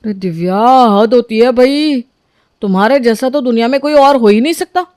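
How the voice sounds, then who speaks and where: A woman voicing a bossy, sarcastic young husband in an animated story, scolding his wife. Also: fairly high pitch, normal speed, dry recording, clean studio sound, lightly acted.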